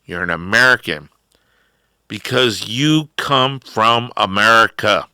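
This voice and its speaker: Slow, bright-voiced middle-aged man ranting. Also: fairly low pitch, lively intonation, clean tone, no echo. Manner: drawn-out, rambling monologue with emphatic rises. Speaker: talk show host. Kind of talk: ranting political talk.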